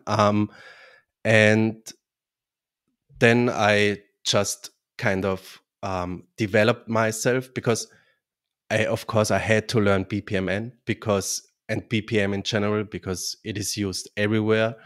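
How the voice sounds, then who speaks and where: Casting: Austrian man, lowish voice, guest on a podcast, halting with restarts. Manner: halting, many restarts and fillers. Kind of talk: podcast interview.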